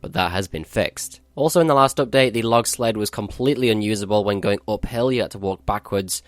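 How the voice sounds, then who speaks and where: A man's voice: lowish voice, brisk, no room sound. A male gaming channel host giving a matter-of-fact, explanatory walkthrough of a game update.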